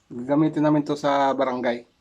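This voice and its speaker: A man's voice: mid-range voice, level tone, brisk, a little mellow, muffled recording. A man with a Filipino accent casually talking through an unboxing in short, unscripted fragments. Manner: unscripted, talks in short fragments while handling items. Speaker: product unboxer.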